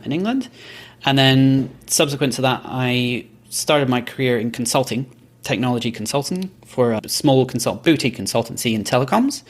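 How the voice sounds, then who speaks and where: Man, from Belgium, podcast guest, mid-pitched voice, measured, reflective storytelling. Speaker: podcast company founder, guest. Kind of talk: casual podcast interview.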